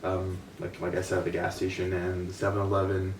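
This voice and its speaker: A man's voice: sits low, monotone, brisk. A young man from India in a casual group discussion, rambling with many fillers. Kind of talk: casual chat. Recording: roomy, from a distance, faint noise.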